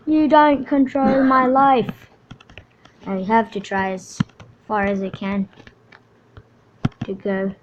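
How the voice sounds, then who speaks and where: A woman's voice: mid-range voice, completely flat delivery, slow pace, pauses often, lo-fi sound, background noise, lightly acted. Woman playing a panicky man on a sinking ship. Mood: indignant. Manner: frantic, pleading.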